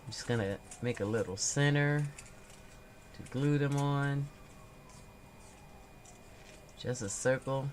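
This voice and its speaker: A woman hosting a relaxed crafting livestream, talking through each step as she works, her voice a little nasal and stuffy from a cold. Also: very deep voice, slow pace, long pauses, slight background noise. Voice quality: slightly nasal.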